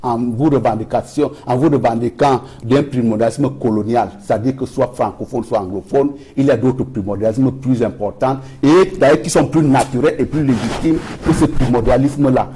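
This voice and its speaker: A man's voice: mid-range voice, normal speed, few pauses, dark tone, a little hiss or hum. A man from Cameroon, a guest on a political debate panel, pressing his point and repeating phrases for emphasis.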